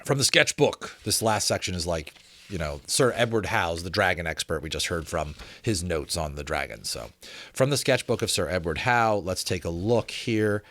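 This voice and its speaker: A man doing a dramatic, deliberate audiobook reading, mixed with casual, chatty asides to his listeners. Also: deep voice, animated, a bit bright. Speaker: reader and presenter of a book reading channel.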